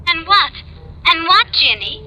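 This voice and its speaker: A woman's voice: very high voice, very animated, unhurried, pushed and bright, heavily acted. An actress playing an inquisitive, breezy girlfriend heard over the telephone in an old radio drama. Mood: eagerly curious. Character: eager, dismissive girlfriend listening on the telephone. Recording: noisy, distant telephone sound.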